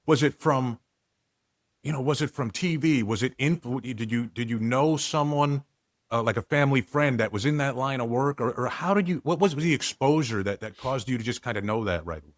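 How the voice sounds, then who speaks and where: A man's voice: mid-range voice, a little fast. Man asking a rambling question. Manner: stumbling, repeats words while forming questions. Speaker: podcast host. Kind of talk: casual interview questions.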